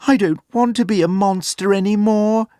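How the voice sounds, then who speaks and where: A man voicing a big but gentle-hearted storybook bear, sad and anxious, in a plaintive, earnest way. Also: high-pitched, moderate pace, smooth, dry recording, studio quality, pitched above the performer's own voice, lightly acted. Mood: sad, weary.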